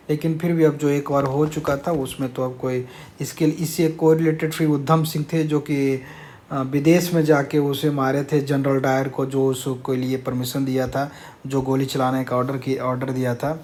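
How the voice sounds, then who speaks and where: A man's voice: on the high side, darkish tone. A man teaching an informal exam-prep lesson, explaining answers and mixing in English words.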